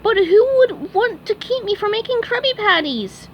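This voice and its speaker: A woman voicing an excitable young male sea sponge fry cook in a storybook read-aloud, shrill and overexcited. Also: moderate pace, muffled recording, a little hiss or hum. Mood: eager.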